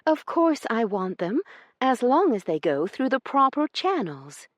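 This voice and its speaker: A woman voicing a prim, sharp-tongued young lady who speaks in a clipped, proper and cutting manner. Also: on the high side, very animated, unhurried, dry recording, voice pushed up. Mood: indignant.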